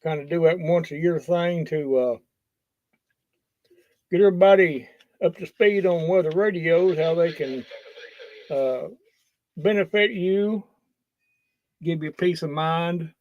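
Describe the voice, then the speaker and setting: A man talking casually and haltingly as a livestream host, in a folksy, unscripted way. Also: on the high side. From Kentucky, US. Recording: dull lo-fi sound, roomy echo.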